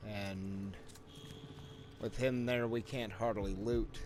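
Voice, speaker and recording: A man's voice: lowish voice, fairly even intonation, slow, noisy background. A man giving casual gameplay commentary as the host of a walkthrough. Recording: loud game music behind. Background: game music and sound effects.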